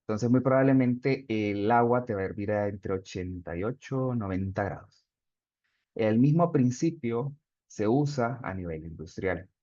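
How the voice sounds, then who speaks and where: A man's voice: fairly low pitch, animated, normal speed, dark tone, dry recording. Man, instructor, online class, explanatory, dry close sound, dull lo-fi audio. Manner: explanatory, reads chat answers aloud. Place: video call.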